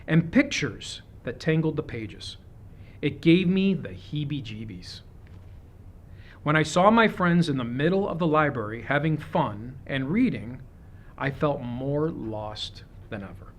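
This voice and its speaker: Higher-voiced man reading a children's storybook. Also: big pitch swings, a little slow. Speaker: storybook reader. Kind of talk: read-aloud of a children's picture book.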